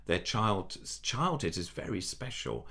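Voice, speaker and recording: A man's voice: on the low side, sing-song, a little slow, bright, forceful tone, breathy edge. An older man with a nasal voice, a puppeteer chatting in an interview, enthusiastic and rambling with many restarts. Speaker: puppeteer guest. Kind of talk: casual interview chat.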